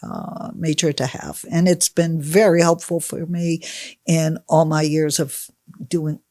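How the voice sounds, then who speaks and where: A woman's voice: deep voice, steady tone, a little slow, dark tone, no room sound, studio quality. A woman in her sixties from Connecticut, answering interview questions in a chatty, emphatic, conversational way. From United States (Connecticut). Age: 60s. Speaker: podcast guest, personal trainer.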